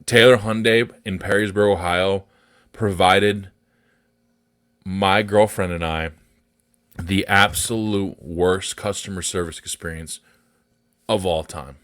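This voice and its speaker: American male podcast host, low bassy voice, close dry sound, blunt and sweary unscripted rant. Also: steady tone, slow pace, long pauses, fairly bright tone, no echo. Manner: blunt, profane, indignant.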